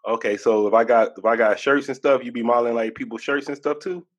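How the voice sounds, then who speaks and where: A man interviewing a singer in a relaxed, slangy, conversational way. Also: mid-range voice, fast. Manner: laid-back, slangy, conversational. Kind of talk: casual interview.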